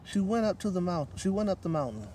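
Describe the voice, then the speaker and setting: A middle-aged man reads to children as a guest storyteller, with dramatic phrasing. His voice is fairly high and very animated, recorded outdoors a short way from the mic. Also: fast. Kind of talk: children's picture-book read-aloud. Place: outdoor table in a leafy park. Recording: distant microphone, light outdoor noise.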